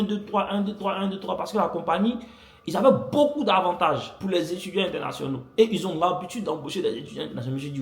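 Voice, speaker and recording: A man's voice: on the high side, a bit bright. A young man vlogging, animatedly telling a personal story to his viewers, repeating phrases for effect. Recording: echoey room.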